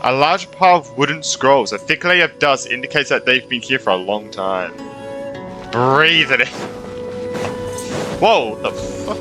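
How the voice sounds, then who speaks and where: A man's voice: medium pitch, lively intonation, unhurried, pauses often, over music. A young man giving casual, reactive gaming commentary and reading game dialogue aloud in an informal way. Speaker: gaming streamer.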